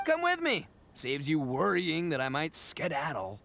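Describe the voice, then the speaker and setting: A man's voice: fairly high pitch, huge pitch swings, a little slow, creaky, fairly bright tone, slight background noise, full character voice. An actor playing a boastful young male oni gang boss in a game, hearty and full of laughs. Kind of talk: game cutscene voice acting.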